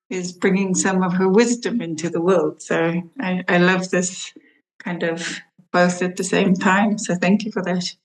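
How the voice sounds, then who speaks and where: A woman hosting a podcast, gently thanking her guests and asking them questions. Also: mid-range voice, low-quality recording. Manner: gentle, affirming. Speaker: podcast host. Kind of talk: interview hosting.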